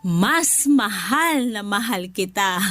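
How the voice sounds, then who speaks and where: A woman playing a loving, motherly older nanny in a Filipino radio drama, speaking tenderly and with deep emotion. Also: animated, slow, loud music behind the voice. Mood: grateful. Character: loving older nanny, motherly, grieving her lost child.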